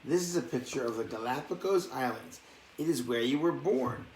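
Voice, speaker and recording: A man with a mid-pitched voice reads a children's bedtime story aloud, slipping in casual asides. The room is echoey, and there is faint noise in the background. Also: expressive, moderate pace, a little mellow. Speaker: storybook reader.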